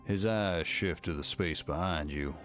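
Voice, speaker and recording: Low-voiced man narrating a story game. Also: unhurried, fairly bright tone. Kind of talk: scripted second-person story narration. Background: faint music.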